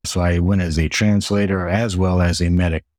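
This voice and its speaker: A man with a very deep voice, Hungarian his first language, answering interview questions in a steady, methodical way. He strings his points into long lists and often repeats words as he goes. The sound is close and dry. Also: moderate pace, dry recording. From United States. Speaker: guest, retired Air Force medic.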